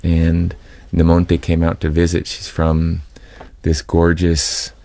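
A middle-aged American man from California giving a reflective, halting personal account as an interview guest. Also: low-pitched, completely flat delivery, vocal fry, warm tone. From California, United States.

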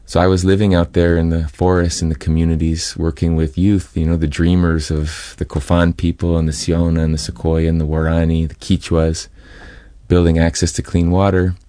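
A middle-aged American man from California giving a reflective, halting personal account as an interview guest. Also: deep voice, level tone, mellow, no room sound, studio quality. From California, United States.

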